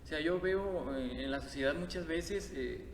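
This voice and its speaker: Earnest guest in an echoey hall. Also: male voice, fairly high pitch, moderate pace. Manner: earnest, hesitant with fillers. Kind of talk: reflective conversational podcast. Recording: echoey hall with clear background noise.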